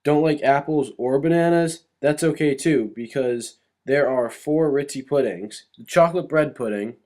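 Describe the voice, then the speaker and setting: A man's voice: mid-range voice, normal speed, a little mellow, lightly acted. A man playing a cheerful 1920s radio chef in a scripted cooking segment.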